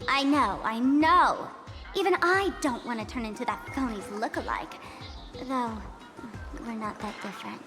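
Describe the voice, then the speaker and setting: A woman's voice: a little high, a little slow, lightly acted. A woman voicing a playful, teasing young female trickster in a theatrical video game style. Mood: dismissive. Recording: music behind the voice.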